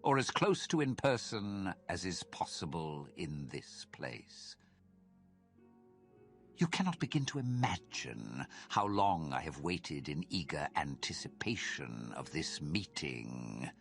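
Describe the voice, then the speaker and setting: A sinister spirit of cursed armour from a fantasy game. The voice is very low and slow, with phrases that swoop and linger. It is formal, suave and theatrical, full of courtly menace. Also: male voice, big pitch swings, gravelly, faint music behind, exaggerated voice. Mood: eager, suave. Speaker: video game character in a fantasy mod.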